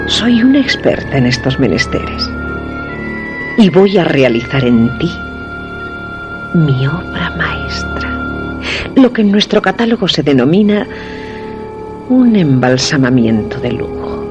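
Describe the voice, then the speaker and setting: Guy doing a creepy wife embalmer, slow n sweetly menacing, spain accent, loud eerie music. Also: fairly high pitch. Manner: deliberate, falsely amiable. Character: sinister, kindly-spoken wife, expert embalmer. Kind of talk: suspense radio drama acting. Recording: loud scary music behind.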